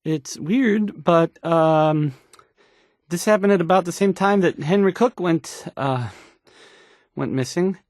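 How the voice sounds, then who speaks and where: An actor playing a nervous, bumbling, insecure male radio DJ in a video game, with a halting, stammering, unsure delivery. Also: high voice, dry recording, clean studio sound. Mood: awkward, unsure. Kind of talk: in-character radio news broadcast, video game voice acting.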